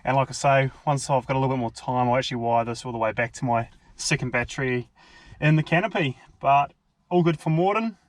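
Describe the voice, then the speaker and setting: A man's voice: medium pitch, brisk. An Australian man with an informal, laid-back manner, talking casually through a hands-on product review. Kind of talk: casual hands-on vlog narration. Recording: clearly noisy amateur vlog audio.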